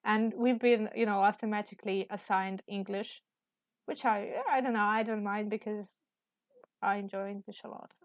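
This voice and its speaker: A woman's voice: medium pitch, steady tone, moderate pace, no room sound. A young woman from Russia, speaking English with a Russian accent, chatting casually and hesitantly with many fillers and restarts. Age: young adult. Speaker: student. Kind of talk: casual chat while drawing.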